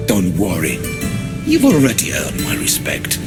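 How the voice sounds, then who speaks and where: A man's voice: fairly low pitch, huge pitch swings, measured pace. An actor playing a proud, commanding god-king in a video game, speaking in a formal, authoritative manner. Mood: stately, assured. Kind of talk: dramatic video game acting. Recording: loud music behind the voice.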